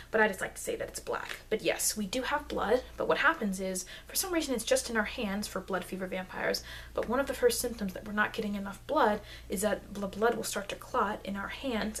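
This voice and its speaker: A friendly, rambling vampire voiced by a woman explains things in an ASMR roleplay. The voice is soft and medium in pitch, hesitant, often dropping into murmured asides. The recording is a cheap mic with faint noise and clicks. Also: close to an everyday voice. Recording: noisy low-grade mic with clicks.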